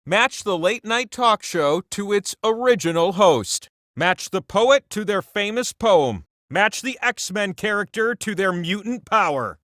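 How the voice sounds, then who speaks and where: Grandiose, swooping high-pitched game-show wheel. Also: male voice, dry recording, clean studio sound, full character voice. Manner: grandiose with wry deadpan asides. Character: giant all-knowing game-show wheel, boastful, theatrical male godlike being. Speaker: quiz game host. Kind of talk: theatrical game-show hosting.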